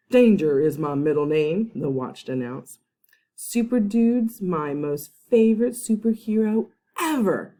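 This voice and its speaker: Female teacher, lower voice, warm and mellow, reads a kids' chapter book aloud with friendly asides. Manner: friendly, chatty asides to young listeners. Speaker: first grade teacher.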